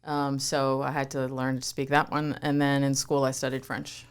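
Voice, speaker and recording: A woman in her fifties, born in Croatia with Croatian as her first language, talking calmly as a guest in an interview. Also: extremely low pitch, moderate pace, clear, resonant tone. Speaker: guest, yoga studio owner. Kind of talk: relaxed interview conversation.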